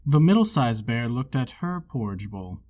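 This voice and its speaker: A man reading a children's storybook aloud as narrator, with measured, expressive storytelling phrasing. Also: mid-range voice. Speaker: storybook reader.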